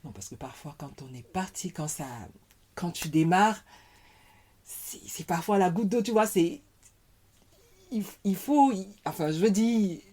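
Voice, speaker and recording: A woman, a podcast guest speaking in a thoughtful, halting way as she searches for words. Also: on the low side, expressive, warm tone, slightly rough. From Cameroon. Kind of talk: casual conversation.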